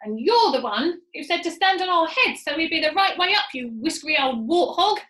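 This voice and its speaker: A woman reader puts on a nasty old wife from a children's story. The voice is shrill and very high, wailing and screaming in panic. It rings in an echoey room. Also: sing-song, normal speed, fairly bright tone. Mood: furious.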